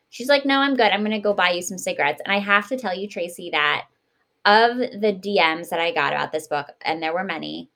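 A woman's voice: on the low side, creaky, fairly bright tone. A woman hosting a podcast, chatting casually and expressively about books with an emphatic way of talking. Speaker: podcast host. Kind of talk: casual book discussion.

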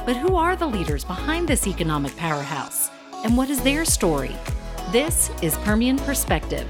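A woman's voice: fairly high pitch, very animated, moderate pace, over music. A woman hosting a podcast from her office, upbeat and effusive, moving from a scripted intro into casual interview chat. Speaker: podcast host.

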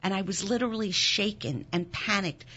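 A German-born woman in her sixties, a nonprofit founder, telling a deeply personal story in an interview in an earnest, intense way, her voice rising sharply when moved. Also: brisk, bright, forceful tone, highs cut off. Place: Philadelphia.

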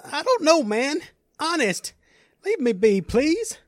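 A man's voice: extremely high pitch, very animated, drawn-out words, mellow, no room sound, clean studio sound, pitched above the performer's own voice, full character voice. A man voicing a frightened, pleading male hostage in an audio story, speaking in a panic. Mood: terrified pleading.

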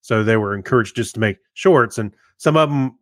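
A man co-hosting a podcast in casual chat, speaking informally and haltingly as he corrects himself. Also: on the low side, a bit bright, dry recording, clean studio sound. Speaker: podcast co-host.

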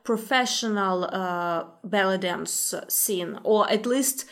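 A woman's voice: medium pitch, slow, fairly bright tone. A woman interviewer asking long questions with many hesitant fillers.

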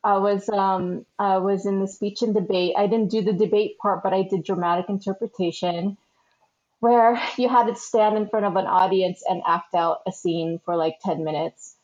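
A woman's voice: mid-range voice, steady tone, normal speed, darkish tone. A woman born in the Philippines, a guest chatting casually in an interview, with lots of fillers and easy laughs. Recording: slightly dull lo-fi sound.

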